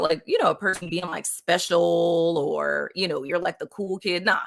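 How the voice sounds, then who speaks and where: A woman's voice: fairly low pitch, a bit bright. A woman from Puerto Rico hosting a casual spiritual live stream, rambling loosely with lots of "like" and "you know what I mean" and frequent laughter. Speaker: livestream host.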